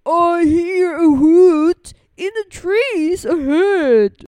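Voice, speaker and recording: A huge, lumbering storybook monster, put on by a woman reading aloud. The words come out heavy, loud and drawn out, menacing yet easily fooled. The sound is close and dry. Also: very high voice, no room sound, voice pushed up, full character voice. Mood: wary. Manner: slow, heavy, loud delivery. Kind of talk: storybook character voice.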